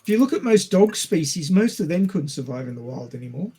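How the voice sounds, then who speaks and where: A man's voice: on the high side, sing-song, a little fast, mellow, husky. A man in a casual discussion, explaining things step by step in a teacherly way. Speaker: podcast panellist.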